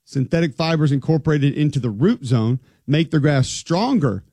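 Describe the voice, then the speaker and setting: A man's voice: a little high, expressive, normal speed, dark tone, slightly rough, no echo. Wry male sports co-host, dry mic. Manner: wry, joking, reads stats aloud. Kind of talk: casual sports talk.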